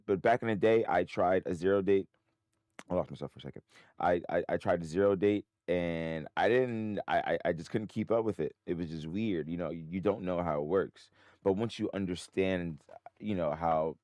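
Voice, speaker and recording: A man hosting a trading livestream in a casual, rambling way, his voice a bit hoarse. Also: a bass voice, a little mellow, no echo, clean studio sound. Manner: rambling, many restarts and fillers. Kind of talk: casual live-stream talk.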